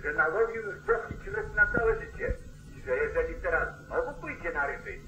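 An actor playing an irate, demanding farmer calling the sheriff in an old 1940s radio play. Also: male voice, a little high, big pitch swings, normal speed, sharp tone. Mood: angry, threatening. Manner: demanding, blustering. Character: irate, self-interested Southern farmer phoning the sheriff. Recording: old radio play, slightly roomy, telephone-like sound.

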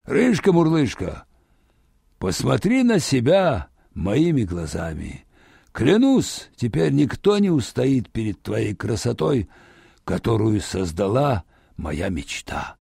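A man playing a husky, possessive painter. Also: mid-range voice, big pitch swings, takes it slowly, warm tone, dry recording, clean studio sound, pitched below the performer's own voice, heavily acted. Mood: solemn, yearning. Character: possessive, scheming, lovelorn male painter.

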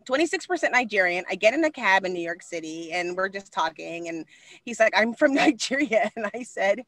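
Brief: animated woman in her 40s, high carrying voice, loud and quick, dry close webcam sound. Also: dry recording. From Texas. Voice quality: high-pitched voice that carries. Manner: animated, loud and fast, with expressive hand gestures. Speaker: podcast co-host. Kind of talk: casual conversation. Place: small room indoors. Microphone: video call webcam.

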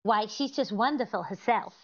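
High-voiced, guileless scatterbrained radio wife. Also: female voice, moderate pace, muffled recording. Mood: earnest, innocent. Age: middle-aged. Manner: innocent, earnest illogical explaining. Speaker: actress in a radio comedy recreation. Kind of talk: 1940s radio comedy double act.

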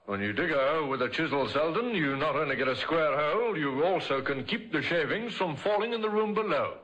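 A man playing a shrewd, confident police inspector in a radio crime drama, clipped, deliberate and dryly ironic. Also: fairly high pitch, expressive, fairly bright tone, lo-fi sound. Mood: probing, dry. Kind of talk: mid-century radio crime drama acting.

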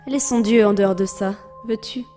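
A mysterious beggar woman who is Death herself, voiced by an actress in an audio drama. She is composed and enigmatic, at a medium pitch, with music clearly behind her. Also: normal speed, natural voice. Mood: calm, knowing. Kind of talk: French audio drama acting.

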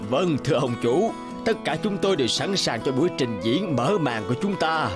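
A man's voice: on the high side, lively intonation, fairly quick, lightly acted. Puppet king come alive, voiced by a man, formal, confident, deferential, cartoon tale with music underneath.

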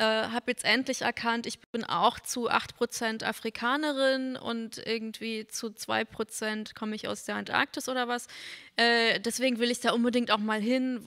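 A woman's voice: a little high, a bit bright, clear, resonant tone. A woman in her thirties, born in Poland and raised in Berlin, speaking frankly and with slight irony as a panel guest on stage into a handheld mic. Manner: quick, frank, slightly ironic. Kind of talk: panel discussion. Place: stage of a literary venue hall. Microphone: handheld microphone. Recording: reverberant hall.

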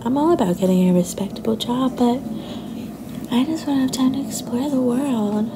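Woman acting young girlfriend, affectionate, a bit possessive, soft whispery ASMR delivery, gravelly creak, noisy ambient recording. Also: on the high side, normal speed, lightly acted. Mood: thoughtful, affectionate. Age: young adult. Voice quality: soft, often breathy and whispery.

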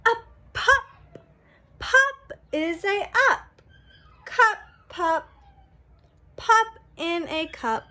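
A young woman, a preschool teacher, reading a picture book aloud to small children in an animated, sing-song, exaggerated way. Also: very high voice, extremely slow, pauses often. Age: young adult. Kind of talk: read-aloud story time for small children. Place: small room at home, indoors. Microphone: phone at arm's length.

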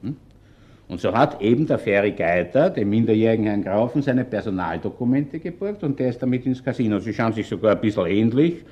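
An actor playing a shrewd, unhurried Viennese police inspector in a radio crime play, with an Austrian accent. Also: male voice, fairly low pitch, animated, muffled recording. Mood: explaining. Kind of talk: naturalistic radio crime drama acting.

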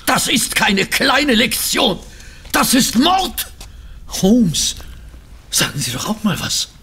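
An actor playing a flustered, easily shocked gentleman sidekick in an audio drama, stammering and indignant, with a raspy voice. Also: sits high, huge pitch swings, slow, exaggerated voice. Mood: alarmed, indignant. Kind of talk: German audio drama acting.

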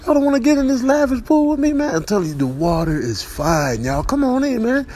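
A man's voice: extremely high pitch, sing-song, brisk, darkish tone, voice pushed up, full character voice. A man voicing a boastful, bossy tiger in slangy, repetitive comic voiceover. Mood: eager coaxing. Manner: repetitive, slangy, wheedling then territorial. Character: boastful bossy male tiger guarding his pool.

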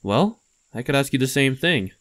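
A young man acting in a comic dub as a brooding, grieving, haunted young man, weary and halting in his terse delivery. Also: medium pitch, animated, fairly bright tone, natural voice. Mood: guarded. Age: young adult. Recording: roomy reverb, faint music underneath.

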